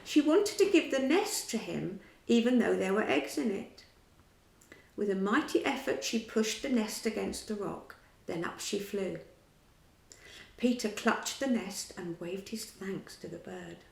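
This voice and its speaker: Slow, gravelly woman reading a storybook. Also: mid-range voice, expressive, reverberant, poor audio. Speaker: children's storyteller. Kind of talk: storybook read-aloud.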